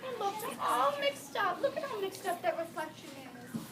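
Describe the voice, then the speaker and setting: A woman teacher reading a picture book aloud to young children, expressive, pausing to explain words and ask questions. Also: very high-pitched. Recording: echoey noisy room from far off.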